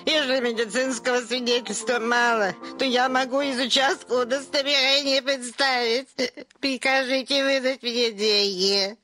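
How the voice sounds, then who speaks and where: A woman's voice: sits high, steady tone, moderate pace, bright, forceful tone. An actress playing a stubborn, whining old petitioner woman in a radio play, folksy and repetitive, wheedling and scolding. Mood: wheedling, plaintive. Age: older. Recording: music behind the voice.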